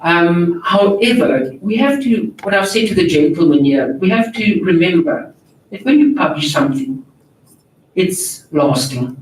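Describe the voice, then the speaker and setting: A man with a slightly raised pitch, a journal editor speaking English as a second language, talking candidly and a little self-deprecatingly. He sounds distant from the mic in an echoing auditorium, with faint noise behind him. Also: lively intonation, measured pace. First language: not English. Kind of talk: panel discussion answering audience questions. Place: large auditorium.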